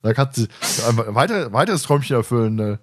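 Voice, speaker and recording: A man's voice: mid-range voice, lively intonation, quick pace. A man hosting a podcast, chatting casually in a playful, teasing, jokey way. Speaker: podcast host.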